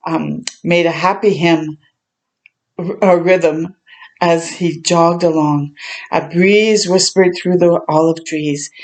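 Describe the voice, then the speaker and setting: A woman reading a children's story aloud as a storytime host, adding gentle chatty reactions as she goes. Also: on the low side. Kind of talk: read-aloud narration with chatty asides.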